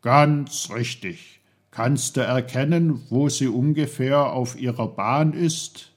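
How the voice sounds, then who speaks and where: A tiny magical uncle from a storybook, played by a male reader, wise and kindly. He speaks slowly in a husky voice, stretching his words with emphatic, teacherly care. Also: mid-range voice. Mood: proud. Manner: emphatic, drawn-out, avuncular instructing. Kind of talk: German children's storybook character voice.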